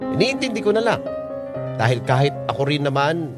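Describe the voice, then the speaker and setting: A man's voice: a little high, big pitch swings, a little fast. An actor playing a hurt young man who confides, in radio drama narration, that he feels left out. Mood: resigned. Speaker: narrator and main character. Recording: loud music under the voice. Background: loud music.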